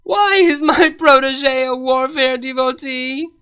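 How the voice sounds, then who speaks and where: A woman's voice: sits high, slow pace, bright, forceful tone, clean tone, voice pushed up, exaggerated voice. A woman voicing a weary, regal pony princess and mentor in a comic storybook, exasperated, sardonic and dramatic.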